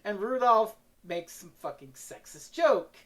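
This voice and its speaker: A woman reviewer retelling a story in casual, animated, sweary chat. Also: medium pitch, a little slow. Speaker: podcast reviewer.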